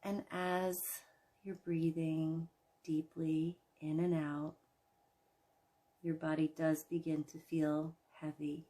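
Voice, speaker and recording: A woman leading a guided imagery relaxation in a soothing, gentle manner, leaving long pauses between phrases. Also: low voice, level tone. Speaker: guided meditation host.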